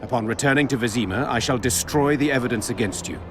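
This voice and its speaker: An actor playing a stern, honourable military commander in a fantasy video game, speaking in a formal, measured and forceful way. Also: male voice, mid-range voice, music in the background, close to an everyday voice. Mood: stern, threatening.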